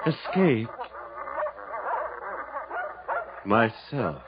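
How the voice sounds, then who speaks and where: An actor playing a desperate, panicking scientist in a radio horror drama, speaking in anguished, halting fragments. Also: male voice, mid-range voice, huge pitch swings. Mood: anguished panic. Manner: anguished, halting, gasping self-talk in fragments. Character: desperate, panicking male scientist, cornered and frantic. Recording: dull old radio recording, loud noise.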